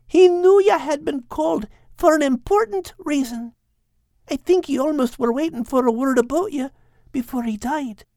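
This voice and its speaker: A man's voice: very high-pitched, darkish tone, dry recording, clean studio sound, pitched above the performer's own voice. A man voicing a kindly, affectionate female animal in an audiobook, with soothing, folksy dialect speech. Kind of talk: audiobook character voice.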